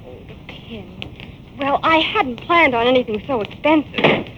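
An American actress playing a loyal, inquisitive young sidekick in a 1940s radio crime drama. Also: female voice, high voice, lively intonation, a little slow. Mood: innocent pretence. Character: loyal, inquisitive young female sidekick, anxious but game. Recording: muffled, noisy 1940s radio recording.

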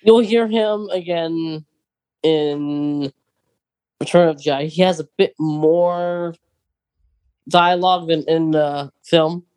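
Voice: medium pitch, takes it slowly, long pauses, a little mellow, clean tone, no room sound. Casual podcast co-host, dry close sound. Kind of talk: casual fan discussion.

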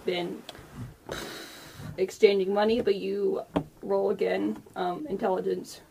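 A woman's voice: mid-range voice, flat delivery, measured pace, gravelly. A woman narrating casually as game master during a tabletop role-playing session. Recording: faint background noise.

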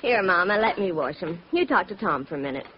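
An actress playing a playful, gently bossy young wife in an old-time radio drama, with teasing homely banter. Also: female voice, lowish voice, expressive, quick pace, lightly acted. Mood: brisk, caring. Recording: noisy, muffled old radio recording.

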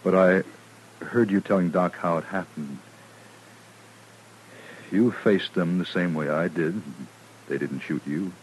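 A male actor playing a calm, philosophical wounded frontier man in an old radio western. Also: sits low, a little slow, long pauses, low-quality recording. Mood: calm, reflective. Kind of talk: old time radio drama acting. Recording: hissy vintage radio recording.

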